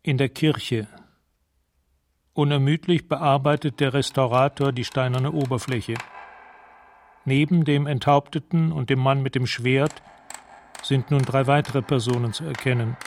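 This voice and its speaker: A man narrating a radio drama in a measured, detached, matter-of-fact way, as if describing scenes. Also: medium pitch, fairly even intonation, slight background noise, natural voice. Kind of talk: scripted present-tense radio drama narration.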